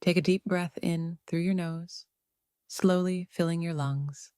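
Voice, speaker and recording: A woman narrating a guided meditation in a soothing, unhurried way, leaving long pauses between phrases. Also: fairly low pitch, steady tone, warm tone, smooth, dry recording, studio quality.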